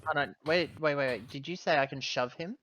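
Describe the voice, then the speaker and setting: A man's voice: on the high side, a little fast, a bit bright. A man chatting casually as a player in a tabletop roleplaying game.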